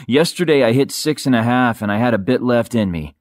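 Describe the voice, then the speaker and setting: A man voicing a seasoned, stubbornly self-reliant diver in an audiobook, terse and low-key. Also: a little fast, a little mellow, no room sound, clean studio sound, natural voice. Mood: confident, easygoing. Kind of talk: audiobook character voice.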